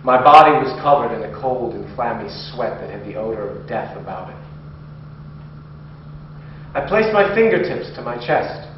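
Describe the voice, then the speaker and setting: A brooding male vampire occultist, played by an actor, delivers a dramatic stage monologue. He speaks haltingly, with weighty pauses. The recording is dull and distant, with heavy room echo. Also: medium pitch, animated, natural voice. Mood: cold, grave. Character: brooding male vampire occultist confessing his dark transformation. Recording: very echoey room, distant dull mic, faint hiss.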